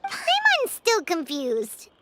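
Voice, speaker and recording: Game fairy sidekick, tiny and excitable,, very high childlike squeak, slow-paced delivery. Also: female voice, very noisy, full character voice. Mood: confused, excited. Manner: refers to self in third person, exclamatory. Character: tiny floating childlike fairy companion, excitable, easily confused. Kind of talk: animated video game dialogue. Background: background music.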